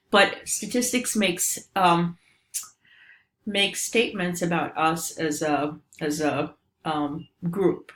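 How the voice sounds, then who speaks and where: A middle-aged woman with a Romanian accent, a professor giving a recorded course introduction in a relaxed, explanatory way with frequent fillers. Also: medium pitch. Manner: informal, many ums and uhs, explanatory. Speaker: statistics professor.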